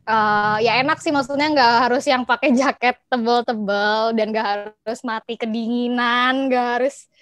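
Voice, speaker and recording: A young woman with an Indonesian accent chatting casually as an interview guest, expressive and giggly, mixing in English and German words. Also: a little high, normal speed, no room sound. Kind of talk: casual chat.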